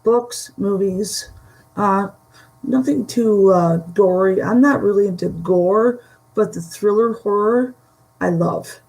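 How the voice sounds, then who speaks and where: A woman from the Buffalo area with a Western New York Great Lakes accent, chatting slowly with many pauses on a live stream from home. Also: mid-range voice, darkish tone, rough recording. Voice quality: gravelly. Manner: slow, halting, many fillers. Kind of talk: casual live stream chat. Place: home room.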